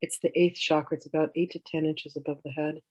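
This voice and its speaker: A meditation teacher guiding in a soothing, unhurried way, with gentle instructions in drawn-out phrases. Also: mid-range voice, level tone, no echo, rough recording. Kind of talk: guided meditation, slow instruction.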